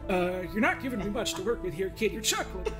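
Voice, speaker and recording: A woman's voice: mid-range voice, animated, fast, close to an everyday voice. A woman voicing a smug, scolding middle-aged male therapist of the universe in an improvised comedy game dub, rambling, folksy and theatrical. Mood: amused, scolding. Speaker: fan dub voice performer. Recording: music under the voice.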